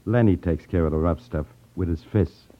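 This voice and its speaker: A man's voice: low voice, moderate pace, lightly acted. An actor playing a blunt, menacing gangster henchman with curt tough-guy talk in a 1950s radio crime drama. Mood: bossy, menacing. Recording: tinny, narrow 1950s radio recording.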